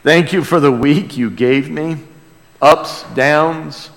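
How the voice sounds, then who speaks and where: A man preaching and praying to a congregation, earnest in manner, swelling from hushed to emphatic. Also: fairly high pitch, slow. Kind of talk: sermon and prayer to a congregation. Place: large church room or hall. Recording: reverberant hall sound.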